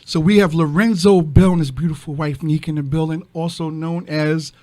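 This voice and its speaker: Guy hosting radio, bit high voice, upbeat, hypes everybody up. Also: fairly even intonation, moderate pace. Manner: hyping guests with shout-outs. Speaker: radio show host.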